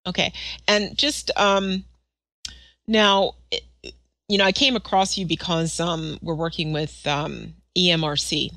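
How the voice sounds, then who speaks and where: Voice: medium pitch, steady tone, a little slow, creaky, pushed and bright, no room sound, clean studio sound. An interviewer asking long, rambling questions in a conversational way, with many fillers.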